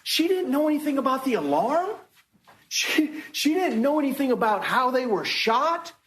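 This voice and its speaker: A man speaking as a prosecutor to a jury, making an emphatic, deliberate closing argument with repeated phrases, recorded in a courtroom. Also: very high voice, big pitch swings, fairly bright tone, roomy sound. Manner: emphatic, deliberate, repetitive rhetoric. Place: indoor courtroom.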